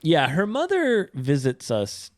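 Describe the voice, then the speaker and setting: Playful, teasing man, slow-spoken. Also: huge pitch swings, no room sound, studio quality. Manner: playful, teasing, bouncy pitch jumps. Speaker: podcast co-host, English teacher. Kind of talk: casual chat.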